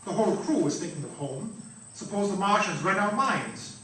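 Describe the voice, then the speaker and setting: A man's voice: high voice, lively intonation, normal speed, a bit bright. A man playing a suspicious, alarmed doctor on a space crew, speaking tensely and ominously in classic radio theatre style. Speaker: actor. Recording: very echoey, distant, faint noise.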